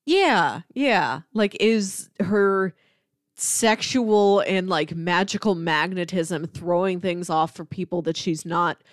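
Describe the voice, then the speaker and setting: A woman's voice: on the low side, slow, no room sound. Chatty woman in a dry, close recording. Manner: chatty, analytical, frequent "like". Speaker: podcast co-host reviewing films. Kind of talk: casual film discussion.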